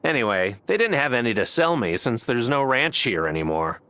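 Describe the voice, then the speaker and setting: An actor voicing a quirky, folksy islander in a video game, proud and easily indignant. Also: male voice, medium pitch, big pitch swings, brisk, pushed and bright, slight background noise. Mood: folksy grumbling.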